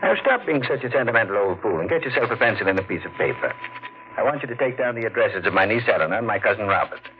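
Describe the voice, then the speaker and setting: A man's voice: on the low side, expressive, fast talker, slight background noise, close to an everyday voice. An actor playing an eccentric, domineering old rich man in a 1940s radio drama. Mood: scheming. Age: older. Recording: tinny old radio broadcast.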